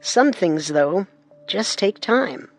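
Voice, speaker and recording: A woman narrates a historical mystery in a measured, scripted storytelling voice at a mid pitch. Faint music sits under her words. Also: expressive, vocal fry. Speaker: narrator and co-host.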